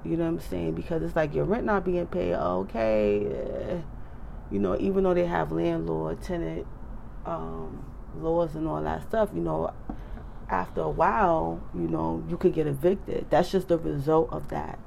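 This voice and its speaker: An American woman, slightly hoarse, giving casual motivational advice in an emphatic, conversational way with repeated check-in phrases. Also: low-pitched, a little slow, a little mellow, slight background noise. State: slightly hoarse, clearing throat. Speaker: advice speaker.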